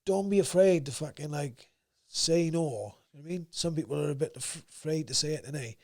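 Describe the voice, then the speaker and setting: A young man with a South Wales valleys accent and a gruff voice, talking bluntly and swearing freely as a podcast guest. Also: fairly high pitch, a little fast, a little mellow. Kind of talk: casual interview chat.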